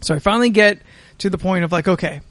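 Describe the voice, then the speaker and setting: An American man hosting a podcast, telling a story casually in a halting, sweary way. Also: sits high, a bit bright, dry recording. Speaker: podcast host.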